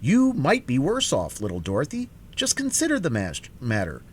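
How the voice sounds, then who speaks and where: A man's voice: medium pitch, huge pitch swings, moderate pace, a little hiss or hum, pitched above the performer's own voice. A man voicing a kindly, easygoing male scarecrow in a storybook reading. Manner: gentle, reassuring.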